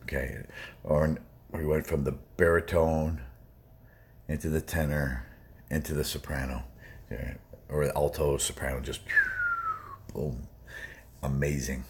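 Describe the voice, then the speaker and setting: A man with a low baritone voice, hosting a music reaction video and talking casually in a rambling, emphatic way. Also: steady tone, measured pace, long pauses, darkish tone. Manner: rambling and emphatic, many fillers.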